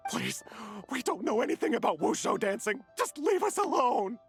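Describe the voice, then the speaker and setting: A woman's voice: a little high, big pitch swings, normal speed, faint music behind. A woman playing a panicked youngster in a video game, urgent and pleading.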